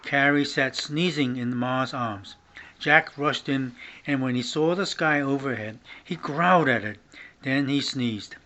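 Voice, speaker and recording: Bright, sharp older man reading aloud. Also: medium pitch, unhurried. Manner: stumbles and restarts words while reading. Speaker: grandfather reading along. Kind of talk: reading a children's novel aloud.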